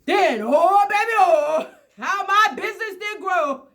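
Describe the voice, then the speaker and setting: A woman playing a greedy, unrepentant storybook factory builder, telling his tale in a boastful, halting way. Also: very high-pitched, lively intonation, a bit bright, full character voice. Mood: excited.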